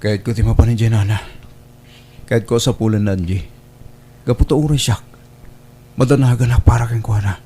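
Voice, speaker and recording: A man's voice: medium pitch, fairly even intonation, brisk, pauses often, dark tone, a little hiss or hum, natural voice. A man playing a devoted, respectful young provincial suitor in a family radio drama. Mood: worried, resolute.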